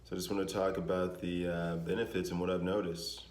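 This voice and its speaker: A young man with a naturally deep voice, talking casually to his vlog audience in a relaxed, rambling way with many fillers. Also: brisk. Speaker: vlogger. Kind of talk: casual vlog talk to camera. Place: home in Denver. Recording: echoey room with faint ambience.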